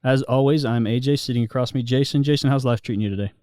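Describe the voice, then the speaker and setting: A man's voice: medium pitch, rapid-fire, a little mellow, no room sound, clean studio sound. A man hosting a podcast who talks in a friendly, easygoing way, often restarting his sentences. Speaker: podcast host. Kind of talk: casual podcast chat.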